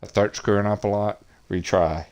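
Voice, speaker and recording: A man streaming a wrestling video game, talking in a monotonous, hesitant way with many pauses and fillers. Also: lowish voice. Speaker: gaming streamer. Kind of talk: casual game commentary.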